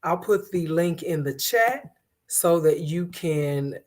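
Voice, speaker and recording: A woman hosting an online commentary stream, hoarse from a cold, talking in a conversational way with frequent pauses and fillers. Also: lowish voice, expressive, darkish tone. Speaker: host of an online commentary show. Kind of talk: casual commentary to viewers.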